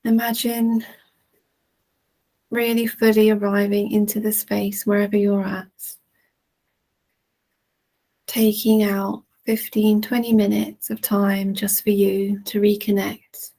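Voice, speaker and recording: A woman leading a guided relaxation in a soothing, gentle manner with long, unhurried pauses. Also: mid-range voice, monotone, vocal fry, rough recording. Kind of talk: guided relaxation, meditation narration.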